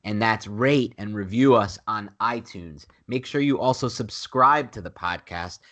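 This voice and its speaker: A man's voice: on the low side, unhurried, bright, forceful tone. A man with a nasal voice talking casually and emphatically as co-host of a sports podcast, repeating himself for emphasis.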